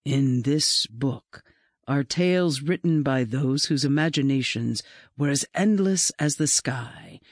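A narrator voicing a gentle, mysterious male librarian who speaks softly and reverently, with measured dramatic pauses, in a storybook reading. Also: medium pitch, no echo, studio quality, pitched below the performer's own voice. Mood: hushed, reverent.

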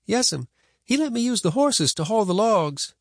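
A man's voice: high-pitched, big pitch swings, a little slow, no echo, clean studio sound, voice pushed up, heavily acted. A man voicing an earnest, defensive young frontier boy in a storybook, with plain folksy rural speech. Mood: wistful.

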